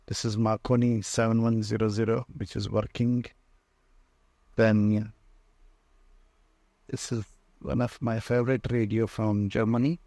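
A low-voiced man with an Indian accent walks through his collection as a tour guide. His delivery is slow and halting, in short phrases. The tone is dark and soft-edged. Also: little pitch movement, dry recording. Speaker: museum owner and radio restorer giving a tour. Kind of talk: unscripted walk-through tour commentary. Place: indoor room lined with shelves of old radios.

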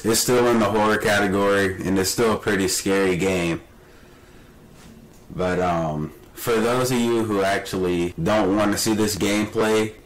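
A man's voice: deep voice, poor audio. A man with a stuffy, congested nose, giving casual commentary as he plays a horror game. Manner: relaxed, chatty. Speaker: gaming youtuber. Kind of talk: casual let's-play commentary.

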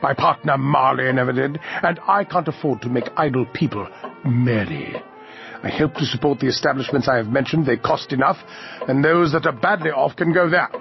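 A man acting a miserly, grumpy old businessman in a radio play, curt and scornful, with a gravelly voice. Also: medium pitch, moderate pace, close to an everyday voice. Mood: cold, curt. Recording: dull lo-fi audio with music behind.

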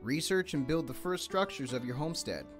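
A man's voice: a little high, animated, a little fast. A man presenting a game with scripted promotional narration as its community manager. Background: faint guitar music.

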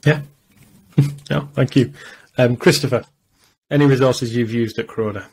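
A man's voice: mid-range voice, fairly even intonation, unhurried, a little mellow, breathy edge. A man moderating a casual panel discussion on a video call. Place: indoor room, video call.

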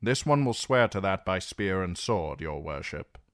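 A man's voice: deep voice, animated, a little slow, no echo, clean studio sound. A man voicing a stolid, formal eunuch soldier.

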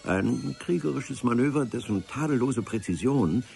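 A man's voice: mid-range voice, expressive, moderate pace, gravelly, warm tone, faint music behind. A man narrating a radio adventure serial in the first person, with a dry, ironic storytelling manner. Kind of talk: scripted radio-play narration. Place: radio drama studio.

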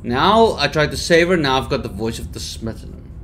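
A man's voice: medium pitch, animated, moderate pace, fairly bright tone, a little hiss or hum. A young man in a headset, giving joking, reactive commentary while he plays a game indoors. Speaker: gaming youtuber. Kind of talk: let's play commentary. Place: indoor room.

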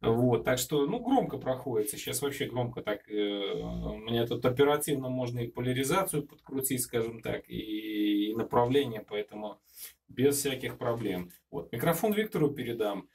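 A man, an amateur radio operator, talking casually and a little haltingly during a contact, recorded indoors in his home radio room. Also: mid-range voice, lively intonation, creaky, a little mellow, breathy edge. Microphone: handheld camera filming the radio.

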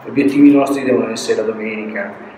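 An older man, a football coach, answering questions at a post-match press conference in a halting way, with frequent restarts and words that trail off. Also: medium pitch, steady tone, mellow. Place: press room with a sponsor backdrop. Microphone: table mic on a stand. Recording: echoey room, mic at a distance, noisy.

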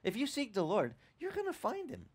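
A man in his fifties preaching outdoors on a beach with a headset mic, talking in a loose, animated way with asides to the crowd. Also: extremely high pitch, brisk, slightly rough. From Italian-American upbringing. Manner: animated, conversational preaching with restarts and asides to the audience. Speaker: pastor. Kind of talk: outdoor sermon. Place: open-air beach tent service.

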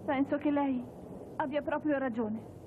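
A woman's voice: high-pitched, a little slow, noisy background. A voice actress playing a sincere, hesitant teenage girl in a cartoon dub. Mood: sincere resolve. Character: sincere, reluctant teenage girl judo prodigy. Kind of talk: cartoon dubbing. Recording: narrow phone-like dub with music behind.

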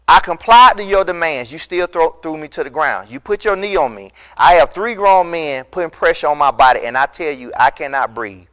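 A middle-aged man preaching a recorded sermon as pastor in an empty church hall, impassioned and emphatic, rising to loud peaks, on a lapel mic. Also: a little high, animated, normal speed, few pauses, smooth.

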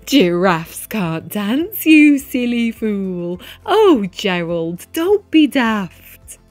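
A woman voicing excitable jungle animals in a storybook, loud and exclamatory. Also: medium pitch, very slow, no echo, soft background music. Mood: mocking.

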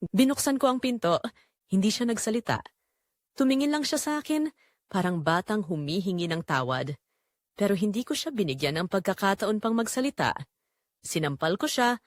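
Remorseful mother voiced by a woman, expressive delivery. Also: mid-range voice, dry recording, studio quality, natural voice. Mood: wistful, guilty. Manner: emotive, deliberate storytelling with dramatic pauses. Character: remorseful middle-aged mother-in-law confessing a forbidden affair. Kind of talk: dramatic first-person audio drama narration.

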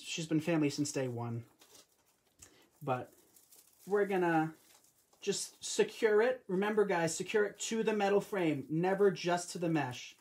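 A man's voice: fairly high pitch, a little mellow. A man in his early twenties hosting a live crafting stream from a home craft room, talking loosely and conversationally. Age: 20s. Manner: chatty, loose and conversational with frequent restarts. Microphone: camera or phone on a tripod over the table.

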